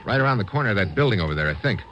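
An American man playing a bold, wry, hard-boiled adventurer in an old-time radio drama, with brisk informal delivery. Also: on the low side, very animated, dull audio. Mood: urgent. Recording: noisy vintage radio recording.

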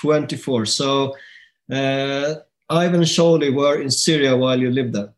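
A middle-aged man hosting a podcast, relaxed and chatty, often trailing off on "and, uh". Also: medium pitch, measured pace. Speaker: podcast host. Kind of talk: casual interview.

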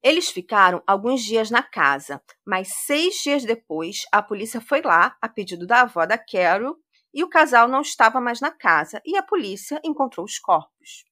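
Woman podcast host, bright tone, chatty crime storyteller. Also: fairly high pitch, big pitch swings, moderate pace. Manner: conversational retelling with frequent "né".

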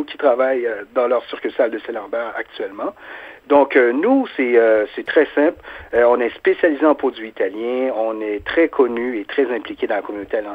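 A man with a Quebec French accent answering informally as a small business owner in an interview. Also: mid-range voice. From Quebec resident of Haitian origin. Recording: narrow phone-like sound.

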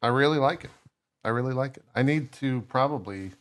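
A man's voice: medium pitch, brisk. A young man co-hosting a podcast over a video call, joking and mocking himself with lots of restarts. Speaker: podcast co-host. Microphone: headphones with mic on video call.

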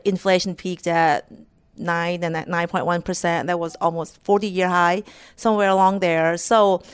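A woman's voice: on the low side, smooth. A woman from Thailand with a Thai accent, answering interview questions as an economist, earnest and restarting her words often. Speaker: corporate economist, guest.

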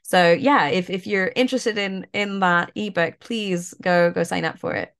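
Croaky congested woman, kinda low voice, chill coach vibe, lots of "like" and "you know". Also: moderate pace. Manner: relaxed, many fillers like "like" and "you know". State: stuffed up, croaky. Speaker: mindfulness coach and yoga teacher, guest. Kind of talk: casual interview talk, guiding an exercise.

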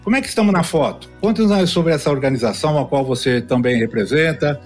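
A man's voice: a little high, quick pace, faint music behind. A man hosting a podcast, upbeat and asking short questions. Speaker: podcast host.

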